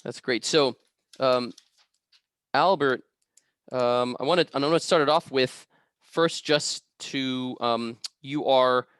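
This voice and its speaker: A man from the United States hosting an informal online interview as the interviewer, speaking in a halting, hesitant way. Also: mid-range voice, fairly bright tone, smooth, dry recording, studio quality.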